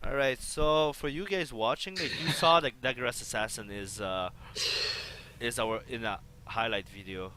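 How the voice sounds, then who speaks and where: A young man from Montreal, co-casting a strategy game in a casual, hesitant way with lots of fillers. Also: moderate pace. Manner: hesitant, filler-heavy, lively pitch. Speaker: co-caster. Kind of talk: casual esports commentary. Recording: faint background noise.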